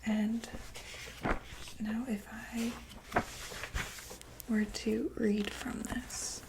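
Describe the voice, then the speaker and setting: Whispering woman, slow ASMR doctor roleplay. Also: fairly high pitch, level tone, background noise. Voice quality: breathy, unvoiced whisper. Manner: gentle, reassuring, hesitant check-up patter.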